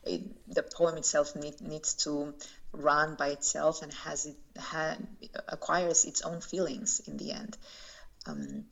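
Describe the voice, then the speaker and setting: Low, gravelly woman with halting speech. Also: lively intonation. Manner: thoughtful, halting, many fillers and restarts. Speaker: poet and translator. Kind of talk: interview answers. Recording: dull lo-fi recording.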